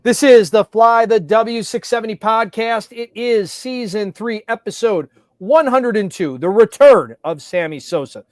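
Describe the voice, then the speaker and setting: A man's voice: high voice, a little slow, dry recording, clean studio sound. A man hosting a sports podcast, delivering a scripted, upbeat intro. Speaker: podcast host.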